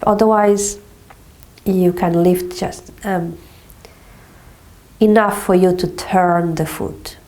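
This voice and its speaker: Female movement teacher, stuffy nose from a cold, slow mellow delivery. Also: fairly low pitch, rough recording. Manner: slow, calm step-by-step guidance with frequent "okay". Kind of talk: guided exercise instruction.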